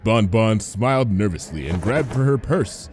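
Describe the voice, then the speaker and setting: A man's voice: fairly low pitch, expressive, moderate pace, a little mellow, a little hiss or hum. A man reading a story aloud as narrator, in a scripted storybook style. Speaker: fan fiction reader, narrator.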